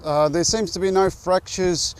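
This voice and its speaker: Sketch comedy: woman as a steady, urgent paramedic, lowish voice, music under. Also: normal speed, natural voice.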